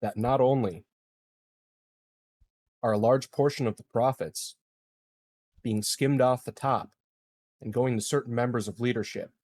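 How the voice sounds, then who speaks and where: A man playing a populist political candidate, giving grave, deliberate oratory against corruption in a tabletop game. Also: mid-range voice, fairly even intonation, darkish tone, close to an everyday voice. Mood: solemn accusing.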